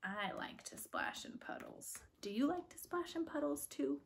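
A woman in her thirties reading a picture book to children, with soft-spoken asides and questions to her listeners. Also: high voice, sing-song, normal speed, creaky, a little hiss or hum. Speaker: read-aloud storyteller for children. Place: living room, indoors. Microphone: camera a few feet away.

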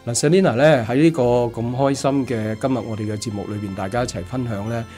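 A man's voice: medium pitch, fast talker, dark tone. A middle-aged man with a Hong Kong Cantonese accent, hosting a radio interview at a studio microphone. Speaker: radio show host. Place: small padded radio studio. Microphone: studio desk microphone. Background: music.

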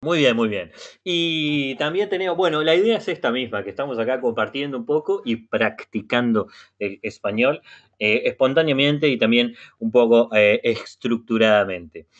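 A man with a Uruguayan Spanish accent guides learners through an online conversation class. His voice sits at a middle pitch, and he talks slowly, repeating words with patience. The sound is muffled, like web meeting audio. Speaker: language class facilitator.

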